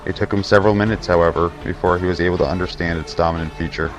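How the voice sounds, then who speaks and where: A man's voice: deep voice, fairly even intonation, racing, low-quality recording. A man narrating a scripted fantasy tale as the storyteller of an audio drama. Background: faint music.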